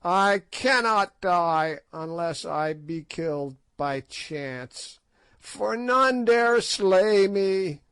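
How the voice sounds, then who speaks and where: A shrieking, cackling ancient witch woman, spiteful and defiant, as a male narrator puts her on. The voice leaps high and loud above the reader's own, and the recording is dry and close. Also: expressive, extremely slow, clean tone, dry recording, exaggerated voice. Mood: raving.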